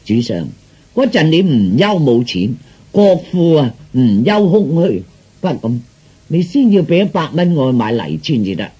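Fawning court schemer, swooping and husky. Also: male voice, fairly high pitch, very animated, moderate pace, dark tone, dull audio. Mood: boastful, persuasive. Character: fawning scheming male court adviser, wheedling and boastful. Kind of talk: Cantonese comic radio drama acting.

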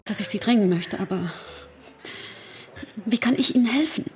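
A woman playing the courteous, pondering hostess of an eccentric house in an absurdist radio play, measured and often breathy. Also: on the high side, over music, close to an everyday voice. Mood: polite, questioning. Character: courteous, deliberating female host of an eccentric house.

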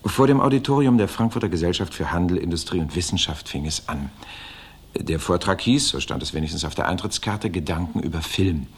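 An actor playing a wry narrator who comments drily in a scripted 1950s radio play, his voice husky. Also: low-pitched, animated, brisk, heavily acted.

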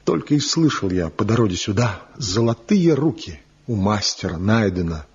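An actor playing a bitter, envious wandering craftsman in a theatrical radio drama, declaiming with impassioned, weighty pauses. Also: on the low side, big pitch swings, darkish tone, breathy edge, exaggerated voice. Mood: tormented confession. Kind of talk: theatrical Soviet radio play acting. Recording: muffled 1970 radio drama recording.

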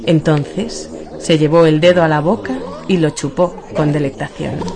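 A woman's voice: low-pitched, fairly even intonation, normal speed, over music. A woman with a Spanish accent from Spain giving a scripted narration of a comic radio story.

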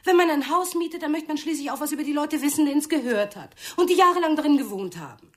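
A woman's voice: sits high, expressive, fast. An actress playing a touchy, reproachful wife and fussing mother in a German radio play. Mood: defensive.

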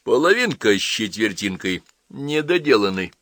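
A male narrator acts out an elderly village priest from a storybook. He is folksy and gruff yet affectionate, moving widely in pitch, with a dry, close sound. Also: sing-song, moderate pace, fairly bright tone, no echo. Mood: stern. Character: cheerful old village priest, blunt gentle holy fool.

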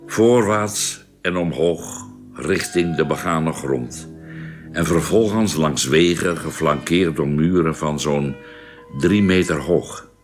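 A spaceman recounting his journey in a radio play. The man's voice is very deep and his delivery slow and orderly. Faint music plays beneath the scripted narration. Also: heavily acted.

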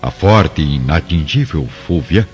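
A Brazilian man narrates a radio drama in a very deep, highly expressive voice. The recording is slightly muffled, with music underneath. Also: unhurried.

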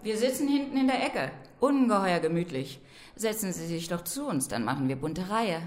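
An actress as a middle-aged shopkeeper's wife in a radio play, nervous and eaten up with envy. Her lowish voice swings widely up and down as she gushes and makes catty small talk. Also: lively intonation, normal speed, close to an everyday voice. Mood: gushing. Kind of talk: radio drama acting.

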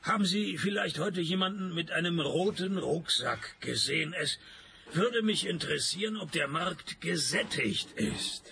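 An actor playing a weary, sardonic private detective in a radio play, with a dry, sarcastic delivery. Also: male voice, fairly high pitch, animated, a little slow, vocal fry, pushed and bright, slight background noise, full character voice. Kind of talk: radio play acting with first-person narration.

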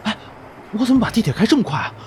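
A man acts out a subway driver who is flustered and coarse. His pitch runs slightly high and jumps around a lot, with faint noise behind. It is horror audio drama acting. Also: sing-song, racing. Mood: dazed, panicked.

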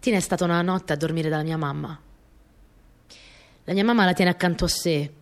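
Radio play lead: actress as young Italian wartime courier, slightly low female voice, earnest and dreamy, simple reminiscent delivery. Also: fairly quick, lightly acted. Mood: grave, subdued. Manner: simple, conversational, reminiscent storytelling with short phrases. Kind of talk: radio theatre monologue, intimate first-person storytelling.

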